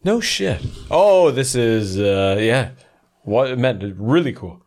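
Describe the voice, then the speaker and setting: A man's voice: mid-range voice, animated, takes it slowly, darkish tone. A man hosting a podcast in casual conversation, with slangy, laid-back phrasing. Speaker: podcast host. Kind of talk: casual chat.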